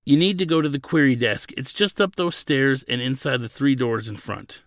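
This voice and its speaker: A man's voice: mid-range voice, normal speed, dry recording, studio quality, natural voice. A man voicing an uncomfortable but helpful male security guard in an audiobook, speaking in a placating way. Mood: awkward, placating. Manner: awkward, placating.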